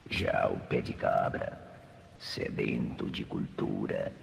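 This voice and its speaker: A sinister gang boss from a Brazilian radio serial, with an extremely deep, gravelly voice that creaks with menace. He scorns his henchmen and schemes coldly, in a long reverberant hall. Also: steady tone, exaggerated voice. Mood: cold scheming. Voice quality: very low gravelly rasp, often breathy. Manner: slow, menacing, contemptuous. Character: sinister scheming male villain, a knife thrower gang boss. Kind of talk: old radio serial acting. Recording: reverberant old radio recording, faint noise.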